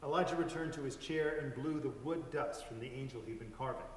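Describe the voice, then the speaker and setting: A man playing an earnest, observant young boy in a measured storybook reading. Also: medium pitch, far from the mic, close to an everyday voice. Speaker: reader in a dramatic story reading. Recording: echoey hall from afar, faint hiss.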